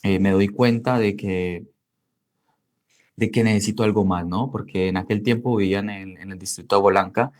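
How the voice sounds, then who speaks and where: Man in his twenties, Colombian accent, low pitch, soft dark timbre, conversational storytelling. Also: fairly even intonation, normal speed. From Cali, Colombia. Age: 20s. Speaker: interview guest, digital marketing entrepreneur.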